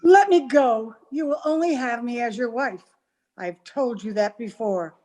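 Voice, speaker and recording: Woman acting high-voiced, scheming young temptress. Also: a little mellow, natural voice. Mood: taunting. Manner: taunting, deliberate. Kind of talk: old-time radio melodrama acting. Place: remote recording over Zoom.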